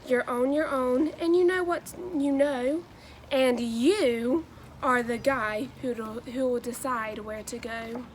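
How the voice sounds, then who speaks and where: A woman, reading aloud to children in a deliberate, sing-song storybook way. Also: high voice, slight background noise. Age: 20s. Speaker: new education graduate reading a children's book.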